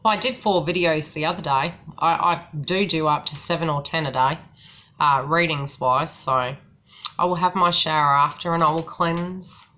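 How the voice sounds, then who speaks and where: A woman from New Zealand with a softened New Zealand accent, chatting casually as a psychic tarot reader on a live stream. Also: low voice, fairly even intonation, normal speed. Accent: New Zealand, softened by Australian.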